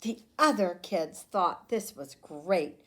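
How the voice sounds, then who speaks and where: A woman teacher reading a picture book aloud to young children, expressively and deliberately, with dramatic emphasis. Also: on the low side, lively intonation.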